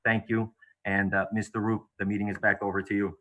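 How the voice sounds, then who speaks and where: A man in his thirties, originally from Bulgaria, delivers a rehearsed, formal speech over a video call. His voice sits low and barely moves in pitch. The tone is very bright and forward. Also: level tone, fairly quick, poor audio. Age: 30s. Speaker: real estate agent and guest speaker.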